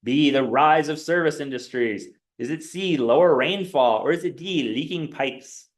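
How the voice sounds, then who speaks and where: A man's voice: on the high side, moderate pace. A male instructor running a quiz review game with a class, reading multiple-choice options aloud with a rising, questioning tone. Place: lecture classroom. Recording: echoey classroom.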